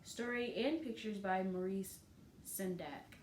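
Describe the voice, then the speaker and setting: A woman reads a picture book aloud in a roomy, echoing space with faint background noise. Her mid-pitched delivery is expressive storytelling, broken up by informal comments to the children listening. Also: takes it slowly. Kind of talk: read-aloud storytelling with casual asides to children.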